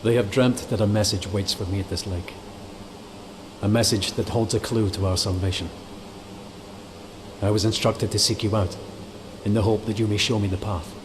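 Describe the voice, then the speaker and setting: Casting: grim, grieving crusader for fantasy game male actor, lowish voice, earnest formal speech, noisy background hiss. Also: moderate pace, pauses often, close to an everyday voice. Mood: grave. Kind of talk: dramatic video game acting. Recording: clear steady background hiss.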